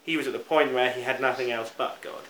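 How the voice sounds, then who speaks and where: A man's voice: mid-range voice, steady tone, fast talker, a bit bright. A British man preaching a sermon in an earnest, reflective manner, stressing key words as he goes. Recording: roomy echo, faint background noise.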